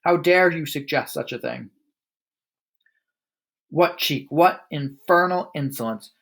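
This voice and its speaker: Man putting on a bullying headmistress, loud, barking, scornful, storybook reading. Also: a little high, takes it slowly, creaky, exaggerated voice. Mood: scornful shouting.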